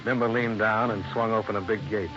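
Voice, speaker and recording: Male actor as hardboiled investigator, American accent, wry, fairly deep, vintage radio detective show, musical backing. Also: fairly even intonation, brisk, music in the background, lightly acted. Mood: wry narrating. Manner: dry, wisecracking. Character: wry, hardboiled American insurance investigator narrating his case. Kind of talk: 1950s radio detective narration and dialogue. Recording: muffled old radio recording.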